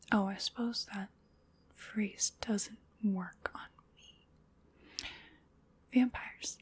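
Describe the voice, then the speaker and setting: A woman performs a female vampire in an ASMR roleplay, speaking in a very breathy whisper at an unhurried pace. She is chatty and wryly polite, with a playful menace. Her soothing delivery dotes on her prey. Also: a little high, full character voice.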